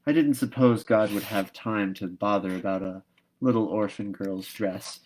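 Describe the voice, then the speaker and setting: A man's voice: on the low side, moderate pace, a little mellow, lightly acted, rough recording. A dreamy young orphan girl from a storybook, as a male narrator puts her on. She is earnest and imaginative. Her speech is wistful, wordy and a little dramatic. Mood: disappointed, dreamy.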